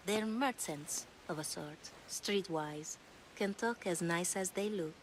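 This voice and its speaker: A woman's voice: on the low side, slow pace, slight background noise, rough recording. A woman playing a worldly, past-her-prime prostitute with a teasing, cynical manner in a video game. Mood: knowing. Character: worldly, wry aging female prostitute in ancient Greece. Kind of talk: video game cutscene acting.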